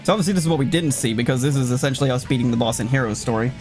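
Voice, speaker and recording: A man commentates a video game over loud music in a casual, sweary way. He rambles through his thoughts at a middling pitch and keeps interrupting himself to react to his play. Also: rapid-fire.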